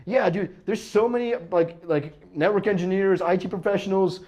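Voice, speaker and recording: An American man doing stand-up crowd work, bantering in a halting, self-interrupting way and often chuckling. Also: high-pitched, darkish tone, dull audio. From United States. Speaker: stand-up comedian.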